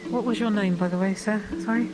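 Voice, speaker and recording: A woman's voice: medium pitch, fairly even intonation, fast talker, close to an everyday voice. A woman playing a terse town doctor in a roleplay, clipped and matter-of-fact. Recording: music behind.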